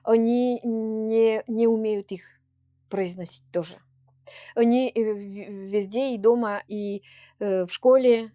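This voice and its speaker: A woman's voice: fairly high pitch, mellow, no echo. Earnest woman with Komi-Permyak accent. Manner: earnest, heartfelt. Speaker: children's folk ensemble leader. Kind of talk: interview answers.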